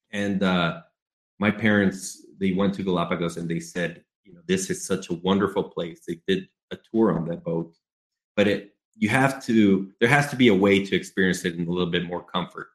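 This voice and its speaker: A man in his thirties from Ecuador, a native Spanish speaker with an American English accent, explaining his travel business as a podcast guest in a measured, businesslike way. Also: low-pitched. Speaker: guest, travel company executive. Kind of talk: podcast interview. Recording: roomy echo.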